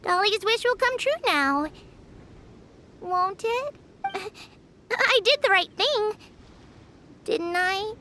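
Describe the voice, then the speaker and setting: A woman's voice: extremely high pitch, expressive, slow, pauses often, pushed and bright, slight background noise, full character voice. A woman playing an innocent, hopeful little girl in a video game, earnest and childlike. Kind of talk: video game character acting. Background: game background music.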